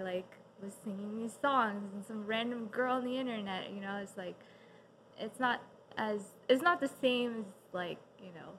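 A woman's voice: mid-range voice, fairly bright tone, a little hiss or hum, rough recording. A woman talking casually as a podcast guest, hesitant with lots of fillers and light laughs. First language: English.

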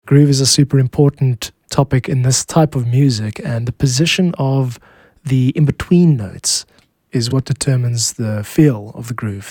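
A man with a South African accent, hosting a music podcast in a measured, explanatory narration. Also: mid-range voice, a little mellow, dry recording. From Cape Town, South Africa. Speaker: podcast host, music producer and composer.

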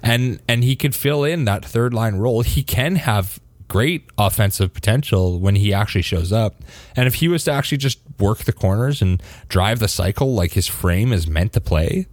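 A man's voice: lowish voice, expressive, moderate pace, dry recording. A man co-hosting a casual sports podcast, easygoing and agreeable, picking up steam as he gives his opinion. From Canada. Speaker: podcast co-host.

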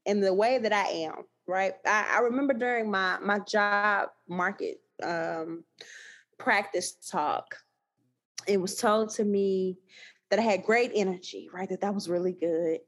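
A woman with a thick Texas accent, talking as a guest in a casual podcast conversation, animated and emphatic with frequent laughter. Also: medium pitch, a little slow. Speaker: guest, new scholar.

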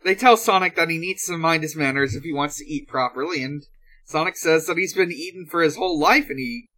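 A podcast host casually recapping a show in a halting, hesitant way. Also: mid-range voice, sharp tone. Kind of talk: casual episode recap chat.

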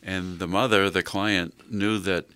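A low-pitched American man with a forceful, bright edge to his voice, recorded dry. He talks like an experienced adviser hosting an interview, laying out examples deliberately and steering with questions. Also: fairly even intonation, smooth, no echo. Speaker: host and legal adviser. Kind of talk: conversational interview on legal planning.

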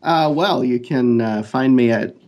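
A man's voice: mid-range voice, measured pace, a little mellow. A man who works as a freelance artist, speaking as a podcast guest in a wry, joking way. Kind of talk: podcast panel chat.

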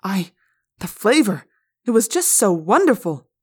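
A woman's voice: mid-range voice, sing-song, measured pace, husky. A woman voicing a young male alien in human form, earnest and formal, who plays with the sounds of words in an audiobook. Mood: fascinated. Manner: formal, precise, toys with word sounds.

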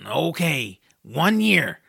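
A man voicing a gruff, proud, ugly troll with a growling, gravelly voice in an audiobook drama. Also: fairly high pitch, big pitch swings, very slow, sharp tone, voice pushed up, exaggerated voice. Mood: gruffly fond. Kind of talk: audiobook drama character acting.

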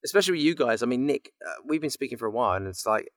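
A man's voice: medium pitch, lively intonation, racing, no echo, studio quality. A man chatting casually as a guest voice actor, self-deprecating, repeating words and checking that he is understood. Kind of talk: casual chat.

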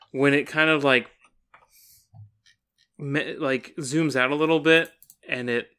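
A man's voice: mid-range voice, pushed and bright, clear, resonant tone. A man co-hosting a podcast, discussing a book casually with a wry, informal manner and some swearing. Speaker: podcast co-host.

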